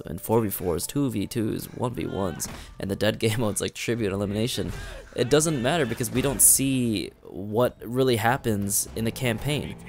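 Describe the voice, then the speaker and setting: A young man chatting casually over gameplay, rambling with fillers and restarts, his voice worn raspy. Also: fairly low pitch, a little mellow. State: raspy, strained voice. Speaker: gaming commentator. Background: faint background music.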